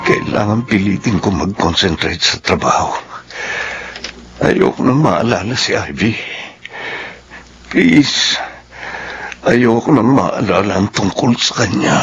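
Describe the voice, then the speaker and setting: A man's voice: fairly low pitch, animated, slow pace, pauses often, dull audio, over music. An actor playing a bitter, brooding, heartbroken man in a radio drama, terse and guarded. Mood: tormented.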